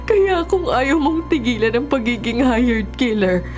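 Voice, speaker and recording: A woman's voice: a little high, expressive, a little slow, over music. An actress playing an anguished, imploring wife of a hitman in a Filipino radio drama, full of emotion. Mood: tearful, desperate. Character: anguished, pleading wife of a hitman, adult woman.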